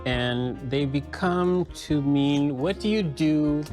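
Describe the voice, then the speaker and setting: A man speaking as an artist and puppeteer in a reflective documentary interview, measured and explanatory. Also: fairly high pitch, music in the background.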